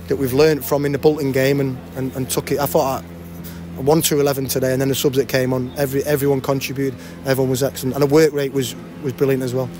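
A man in his forties, a football manager, giving a post-match interview at a stadium with a handheld mic. He talks fast and often restarts and repeats words. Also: medium pitch, a little mellow, slight background noise. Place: outdoors by a cafe banner at a stadium.

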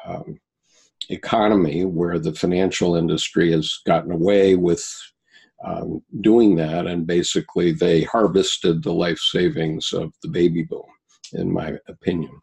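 A man in his seventies, a guest recounting personal experiences in a halting way, with many pauses and restarts. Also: low voice, steady tone, gravelly, a little mellow, husky, rough recording. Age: 70s.

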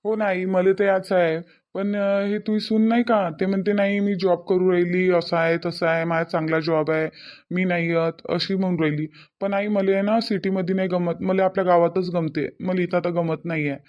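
A man's voice: sits high, steady tone, moderate pace, muffled recording, lightly acted. A man voicing a homesick, troubled grown son in a cartoon, apologetic and complaining, with a Varhadi Marathi accent. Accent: Varhadi (Vidarbha) Marathi. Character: troubled grown son, homesick debt-ridden city worker. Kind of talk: cartoon family drama dialogue.

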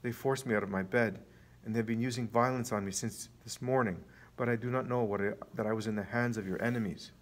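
A man's voice: mid-range voice, fairly even intonation, a little fast, lightly acted. A man voicing a poor, emaciated sick man, pleading and astonished, in a story reading.

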